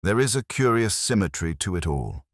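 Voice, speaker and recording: A man voicing a grave, brooding, cerebral detective in a storybook reading, speaking in measured, solemn aphorisms. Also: deep voice, very animated, dry recording, clean studio sound, voice pushed up. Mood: grim, musing.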